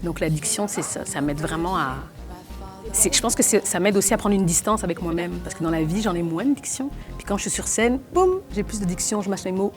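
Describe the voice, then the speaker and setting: A young adult woman from Belgium, talking fast with many restarts in a documentary interview. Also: on the low side, animated, music in the background. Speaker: actress and playwright.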